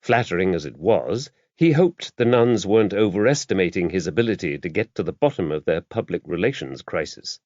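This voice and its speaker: Low-voiced male audiobook narrator, expressive. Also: brisk, few pauses, no room sound, dull audio. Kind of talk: scripted narration of a mystery novel.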